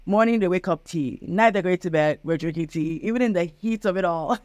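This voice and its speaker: A woman's voice: fairly low pitch, brisk, darkish tone, dry recording, clean studio sound. A young woman from Nigeria, a guest chatting casually on a video call and filling her talk with "like". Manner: chatty, frequent "like" fillers, laughs easily.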